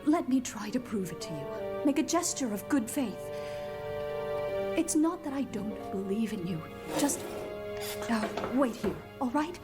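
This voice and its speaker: A composed professor and agent in an audio drama. The woman's voice sits a little high, measured and earnest, with clear music behind it. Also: lively intonation. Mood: earnest, apologetic. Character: composed, apologetic female professor and covert agent.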